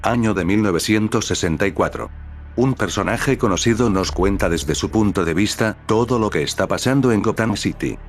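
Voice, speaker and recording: A man narrating a scripted horror recap over dark music. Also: fairly low pitch, normal speed. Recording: background music under the narration.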